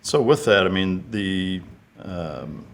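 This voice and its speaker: A man's voice: low voice, expressive, slow pace, vocal fry. A man hosting a podcast, asking questions in a hesitant way and often restarting his sentences. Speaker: podcast host.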